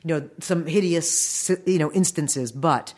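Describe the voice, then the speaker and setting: A woman's voice: low voice, unhurried, darkish tone. Effusive American woman in her fifties. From Long Island, New York, United States. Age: 50s. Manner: enthusiastic, effusive, animatedly quoting people in stories. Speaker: interview guest, animation voice director. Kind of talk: casual anecdotal interview storytelling.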